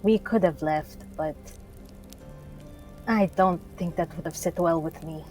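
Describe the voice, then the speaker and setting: A woman's voice: lowish voice, measured pace, a little mellow, faint music behind, low-quality recording. A woman playing a tabletop game, casually narrating and speaking as her character. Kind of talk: casual in-character role-play.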